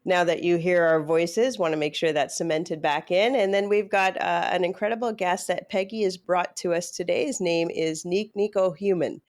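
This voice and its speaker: A woman's voice: lowish voice, steady tone, normal speed, few pauses. A woman hosting a business podcast, giving friendly, rambling introductions. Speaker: podcast host.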